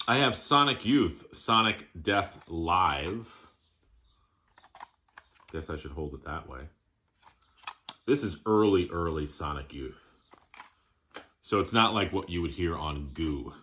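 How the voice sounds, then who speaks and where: A man giving a casual show-and-tell of his collection, plainspoken, listing items in short, halting phrases. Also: sits low, lively intonation, bright, forceful tone, slight background noise.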